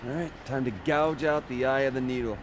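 An actor playing a cocky, sarcastic young American rebel with superpowers in a video game, flippant and slangy. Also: male voice, medium pitch, brisk, background noise, natural voice. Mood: brash, wry. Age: young adult. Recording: game music behind the voice.

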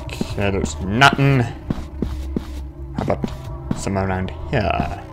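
A man's voice: sits low, slow pace. A man giving casual, rambling gameplay commentary, muttering asides to himself. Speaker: gamer hosting a playthrough. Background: game music.

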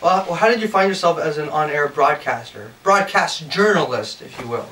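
Guy hosting a chatty interview, kinda high voice, self-deprecating and jokey, bit far from the mic. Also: animated, normal speed, bright, forceful tone. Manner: informal, bantering, self-deprecating. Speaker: show host, interviewer. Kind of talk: casual interview chat. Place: indoor lounge with amps and instruments. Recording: distant mic, faint background noise.

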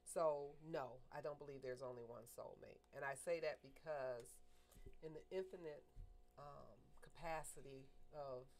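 Middle-aged woman, low-pitched and firm, co-hosts a casual studio chat. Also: a little mellow. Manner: firm, measured, opinionated. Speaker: talk show co-host. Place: small studio room with a table, indoors. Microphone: desk mic on a stand.

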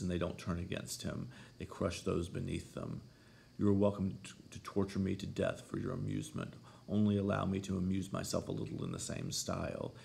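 A man's voice: sits low, creaky, darkish tone, slight background noise, heavily acted. A man voicing a brooding, vengeful villain in a story, growling, bitter and defiant.

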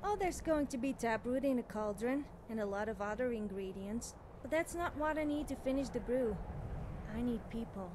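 A woman's voice: fairly high pitch, expressive, normal speed, darkish tone, slight background noise, natural voice. A young woman playing an eager, nervous, cheerfully amoral witch student in video game dialogue, chatty and girlish, often hesitating and backtracking. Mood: earnest, explaining.

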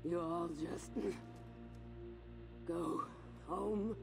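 A woman playing a cold, scornful female warlord in a video game cutscene, speaking deliberately and with menace. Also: fairly low pitch, creaky, heavily acted. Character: cold, menacing armoured female warlord preaching endless war. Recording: background music under the dialogue.

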